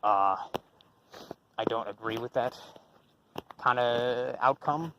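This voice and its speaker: A man's voice: medium pitch, slow pace, muffled recording, background noise. A young man giving an unscripted commentary response, rambling with many fillers and restarts and swearing casually. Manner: casual and profane. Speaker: channel host.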